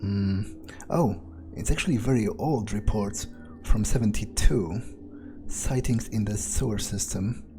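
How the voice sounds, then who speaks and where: A man giving in-character gameplay commentary, thinking aloud in a musing, hesitant way with pauses mid-phrase. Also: on the low side. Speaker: gamer doing an in-character playthrough. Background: game music.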